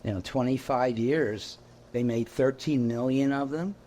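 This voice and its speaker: A man's voice: mid-range voice, unhurried, a little hiss or hum. An older man, co-owner of a repair shop, talking fondly about machines. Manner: fond, animated.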